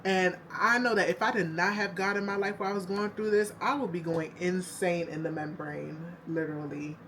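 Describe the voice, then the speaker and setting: A woman's voice: lowish voice, a little fast, a bit bright, a little hiss or hum. A woman in her thirties speaking candidly and from the heart in a casual confessional vlog, stretching words for emphasis. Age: 30s. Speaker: vlogger.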